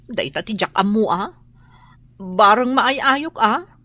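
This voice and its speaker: A sharp-tongued boarding-house busybody for an Ilocano radio drama. Her voice is bright and forceful, recorded close and dry. She has an Ilocano accent and speaks with emphatic expression. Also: mid-range voice, expressive, slow, no room sound, full character voice. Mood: subdued, worried. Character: sharp-tongued, nosy woman boarder, later remorseful.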